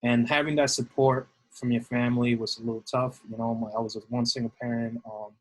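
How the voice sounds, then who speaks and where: Husky New man, rambling. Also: medium pitch, level tone, a little fast, poor audio. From New York City. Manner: rambling, many fillers and restarts. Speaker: guest, assistant baseball coach. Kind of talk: casual interview answers.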